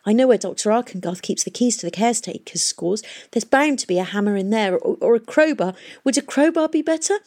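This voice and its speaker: A woman's voice: fairly high pitch, animated, a little mellow, voice pushed up. A woman voicing a distraught, resolute young woman in an audiobook story, pleading and emphatic. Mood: frantic determination. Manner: pleading, emphatic, rushing into plans.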